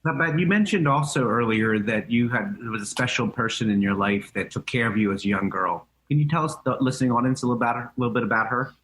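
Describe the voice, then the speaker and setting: A man's voice: medium pitch, fast, few pauses, fairly bright tone, poor audio. A male radio co-host who reads out the lineup and asks the guest earnest follow-up questions. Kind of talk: reading the lineup and asking questions.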